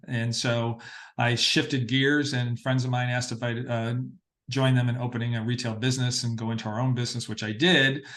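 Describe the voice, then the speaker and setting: A middle-aged American man from Massachusetts telling his story as an interview guest, pausing often as he finds his phrasing. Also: mid-range voice, a bit bright. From Massachusetts, northeastern United States. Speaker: interview guest, parade producer.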